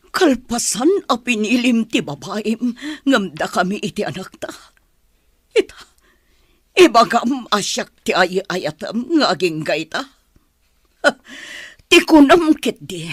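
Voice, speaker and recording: Middle-aged Filipino schoolteacher, wounded and reproachful; breathy-edged voice, close dry sound, radio drama. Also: female voice, mid-range voice, no room sound, heavily acted. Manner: emotional, reproachful. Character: hurt, bitter middle-aged woman teacher, estranged wife.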